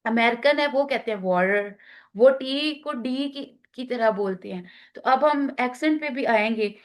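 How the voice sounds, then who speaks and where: A woman with a Pakistani accent teaching an online class, talking slowly with many fillers and switching into English phrases. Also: on the high side. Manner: slow, halting, many fillers, mixing in English.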